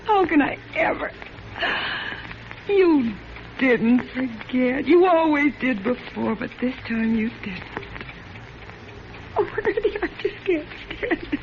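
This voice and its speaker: An actress playing a sweet, emotional, devoted wife in a 1940s style radio suspense drama, with a gushing, dramatic manner. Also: female voice, sits high, animated, slow pace, pauses often, full character voice. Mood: overjoyed, tearful. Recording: muffled noisy old radio recording.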